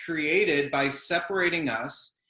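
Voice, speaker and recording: A man moderates a panel discussion in a thoughtful, measured way, often softening his points with "I think" and "you know." His voice is bright and fairly high. It rings in an echoey room. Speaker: session moderator.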